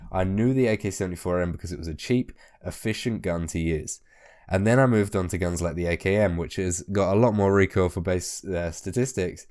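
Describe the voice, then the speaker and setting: A man hosting a gaming video, a little hoarse as his voice comes back, talking tips in a chatty way and swearing casually. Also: low-pitched, fairly quick, darkish tone. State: hoarse, recovering from losing his voice. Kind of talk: casual spoken game tips.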